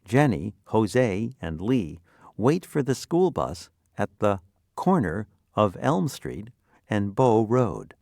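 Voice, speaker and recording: A man narrating a scripted vocabulary lesson in deliberate, clearly separated phrases. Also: on the low side, sing-song, darkish tone, dry recording, clean studio sound.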